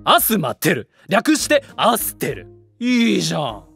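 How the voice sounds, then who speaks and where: Brash, animated schoolboy brawler. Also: male voice, sits high, a little slow, faint music behind, full character voice. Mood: laid-back. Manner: rough, casual speech. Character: brash, laid-back male high school brawler. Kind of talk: audio drama acting.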